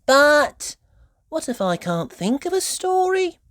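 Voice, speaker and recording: A woman's voice: high voice, sing-song, takes it slowly, fairly bright tone, no echo, clean studio sound, pitched above the performer's own voice, exaggerated voice. A woman voicing an earnest, eager little girl piglet in a children's storybook. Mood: eager, questioning.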